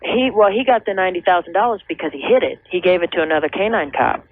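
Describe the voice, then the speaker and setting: A woman's voice: fairly low pitch, expressive, quick pace. Thirties American female caller, conversational, earnest and hesitant, heard through a narrow, tinny telephone line on vintage radio. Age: 30s. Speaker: caller to a radio advice show. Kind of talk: conversational personal account. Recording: tinny phone line on old radio tape.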